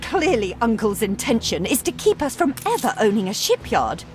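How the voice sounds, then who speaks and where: An actress playing an earnest, devoted young sister and adviser in a video game. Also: female voice, on the high side, big pitch swings, normal speed, faint music behind. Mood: earnest, resolute.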